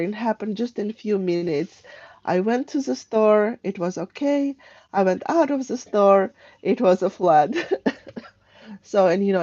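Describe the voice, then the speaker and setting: A middle-aged woman from Armenia, hosting a casual live stream from home and chatting in a halting, rambling, conversational way. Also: medium pitch, dark tone, no room sound, dull audio. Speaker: live stream host, travel tips. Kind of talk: casual unscripted livestream chat. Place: at home, indoors.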